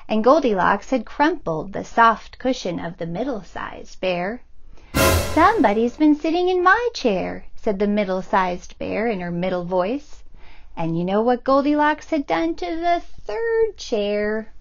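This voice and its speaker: A woman, a children's librarian, reading a picture book aloud in a gentle, expressive storytelling manner. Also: mid-range voice, unhurried, muffled recording.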